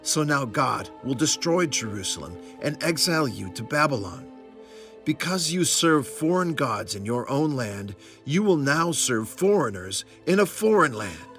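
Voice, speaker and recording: An actor playing a stern, impassioned prophet in a children's Bible audio drama, speaking in solemn, declamatory warnings. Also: male voice, medium pitch, very animated, unhurried, a bit bright, faint music behind.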